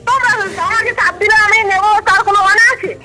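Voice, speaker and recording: A woman's voice: extremely high pitch, fairly even intonation, fast. A middle-aged woman on a phone call as a parcel customer, protesting firmly in everyday conversation. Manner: firm, protesting. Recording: phone call under loud music. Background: loud music.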